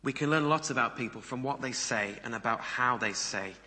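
A man preaches with a strikingly bright, forward voice at a middle pitch. His sermon delivery is conversational, often turning to the listeners with rhetorical questions. The sound carries the long reverb of a hall. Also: brisk. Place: large reverberant room before a congregation.

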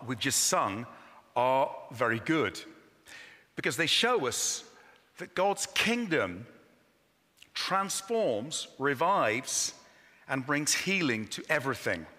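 A man preaching a sermon in a church, enthusiastic and openly apologetic about how loud his voice is. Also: medium pitch, very animated, takes it slowly. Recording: long hall reverb.

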